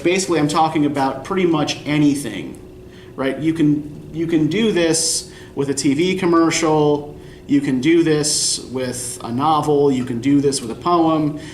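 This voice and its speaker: A young man lectures a college writing class in a loose, rambling, self-deprecating way, peppering his points with "right?". His voice sits a little on the high side. He is captured from a distance in an echoey classroom, with a faint hum of noise underneath. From Eastern Pennsylvania. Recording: echoey classroom recorded from afar.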